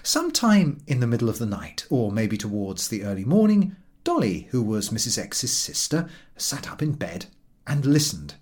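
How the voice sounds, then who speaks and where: Man narrating with highly animated pitch. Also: normal speed. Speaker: audiobook reader. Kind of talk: scripted literary narration of a humorous story.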